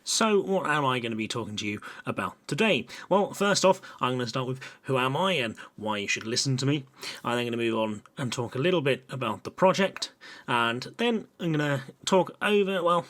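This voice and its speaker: Chatty young English engineer, bright voice. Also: male voice, medium pitch. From Brighton and Hove, England. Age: young adult. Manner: casual, chatty with frequent "uh" fillers. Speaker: civil engineer presenting. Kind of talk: recorded technical presentation.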